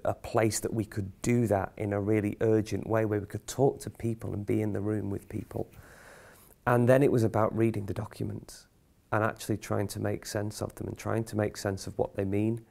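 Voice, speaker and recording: Low, creaky-voiced man explaining reflectively. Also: flat delivery, normal speed, dark tone. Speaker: theatre company member. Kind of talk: explanatory interview talk.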